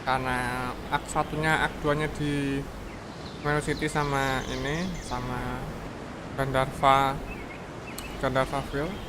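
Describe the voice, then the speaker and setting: A man's voice: mid-range voice, level tone, unhurried, noisy background. A man with an Indonesian accent giving casual livestream commentary as he plays a game. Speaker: game streamer. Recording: loud game music under the voice. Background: loud game music.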